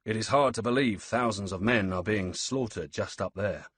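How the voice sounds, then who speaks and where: A man voicing a grim Roman soldier in a low, slightly husky voice. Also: normal speed, fairly bright tone, no echo.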